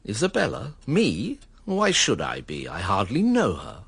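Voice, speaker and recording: An actor playing a weary, cynical invalid gentleman who narrates his own story in a radio drama, dry and understated. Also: fairly low pitch, wildly swooping pitch, measured pace, gravelly, husky, dry recording, heavily acted. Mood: guarded.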